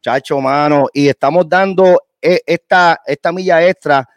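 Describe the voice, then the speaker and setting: A man's voice: a little high, measured pace, no room sound. A man, hosting an interview in a chatty, enthusiastic way. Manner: chatty, enthusiastic, hype-building. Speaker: host. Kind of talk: casual interview chat.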